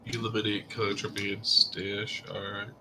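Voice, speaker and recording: A man's voice: fairly low pitch, level tone, measured pace, background noise. A man who sounds congested from being sick, chatting casually while gaming, with short, laid-back replies.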